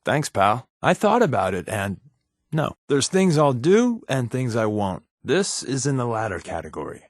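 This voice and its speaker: Wisecracking undercover agent, game companion, animated swooping pitch, sarcastic, guarded, medium-pitched male voice, dry close recording. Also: normal speed, no echo. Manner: wry, flippant, sarcastic. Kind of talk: video game companion dialogue.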